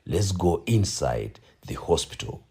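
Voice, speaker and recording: A man's voice: low voice, big pitch swings, measured pace, gravelly, heavy background noise, full character voice. A man playing a grave narrator, solemn and theatrical, delivering scripted radio drama narration. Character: grave, dramatic male narrator of a road tragedy.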